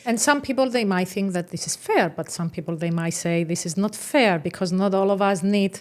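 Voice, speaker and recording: A woman's voice: mid-range voice, fairly quick, darkish tone. A woman hosting a podcast conversation who affirms her guest and adds examples of her own. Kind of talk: conversational interview.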